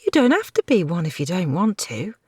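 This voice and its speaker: Reader doing a sarcastic young woman, high pitched, bouncy and mocking, dry close recording. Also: big pitch swings, a little fast, a little mellow, husky, dry recording. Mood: flippant. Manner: mocking, flippant.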